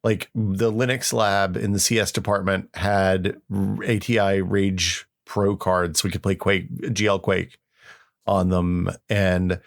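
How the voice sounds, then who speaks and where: A man's voice: deep voice, flat delivery, takes it slowly. A middle-aged man co-hosting a podcast in casual, conversational tech chat. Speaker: podcast co-host.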